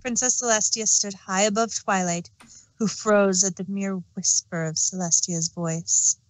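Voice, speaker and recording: A woman's voice: medium pitch, steady tone, a little slow, poor audio. A woman reading fan fiction aloud as an amateur storyteller and narrator.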